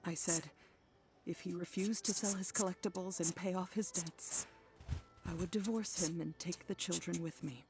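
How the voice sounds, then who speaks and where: A woman's voice: lowish voice, fairly even intonation, moderate pace, natural voice. A woman voicing a worried middle-aged wife in a game, speaking wearily and apologetically. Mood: weary. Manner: weary, apologetic, soft-spoken. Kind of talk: game voice acting.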